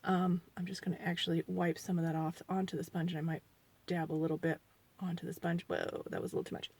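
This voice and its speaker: A woman's voice: on the low side, little pitch movement, fast talker, husky. Chatty sniffly woman in her forties. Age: 40s. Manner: chatty, rambling, self-deprecating; quieter and mumbling while applying makeup. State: sniffly from allergies. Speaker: beauty vlogger. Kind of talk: casual makeup review.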